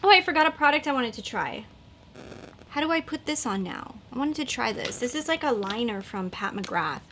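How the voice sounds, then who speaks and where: A woman's voice: fairly high pitch, expressive, moderate pace, fairly bright tone, slight background noise. A woman in her thirties chatting casually while doing her makeup, rambling with lots of likes and fillers. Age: 30s. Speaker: beauty vlogger.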